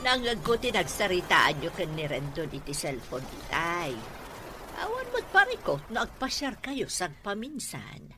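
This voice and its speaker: A woman's voice: lowish voice, wildly swooping pitch, measured pace, over music. A woman with a northern Philippine accent plays an indulgent, encouraging aunt in a radio comedy drama. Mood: kindly coaxing. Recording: clear background noise.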